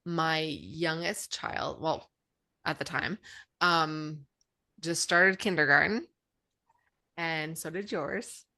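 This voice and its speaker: A woman from Alberta co-hosting a podcast, telling stories in a chatty, animated way. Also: low voice, slow pace, long pauses, no room sound. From Alberta, Canada. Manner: chatty, animated storyteller, acts out quotes. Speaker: podcast co-host. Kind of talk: casual storytelling chat.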